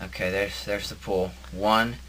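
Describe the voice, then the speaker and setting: A bright, forward male voice with some hiss behind it plays a hapless everyman hero, acted by a man. He is mild, polite and bewildered, often stammering, as in a homemade superhero radio play. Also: fairly low pitch, flat delivery, a little slow, poor audio. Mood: flustered.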